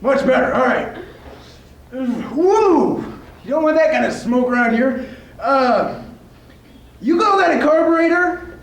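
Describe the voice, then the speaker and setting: A helpful but clumsy butcher, acted by a man in a stage comedy, casual and easily flustered. The voice sits very high and moves slowly and haltingly, in an echoey room with faint noise. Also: lively intonation, exaggerated voice. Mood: casual, goofy. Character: bumbling, helpful butcher with knives, easily frustrated. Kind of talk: improvised comedy sketch on stage. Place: live stage before an audience.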